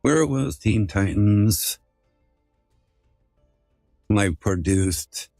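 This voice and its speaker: A man's voice: fairly low pitch, animated, drawn-out words, creaky, warm tone, no room sound, clean studio sound, soft background music. A man hosting a casual livestream, chatting informally with some swearing and often repeating his phrases. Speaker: livestream host.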